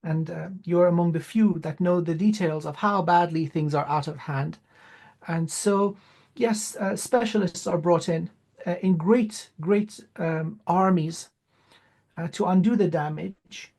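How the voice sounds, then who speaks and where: A man whose first language is Farsi, speaking as an interview guest with many "uh" pauses and emphatic repetition. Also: a little high, creaky, a little mellow. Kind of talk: spiritual interview talk.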